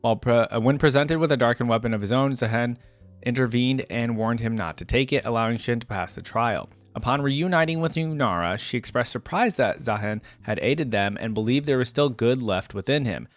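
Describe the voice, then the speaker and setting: A man with a medium-pitched voice works as an online creator doing reaction commentary. He reads written material aloud, then drops into casual, conversational asides as he thinks out loud. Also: fairly quick, faint music behind. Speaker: video creator reacting to game lore.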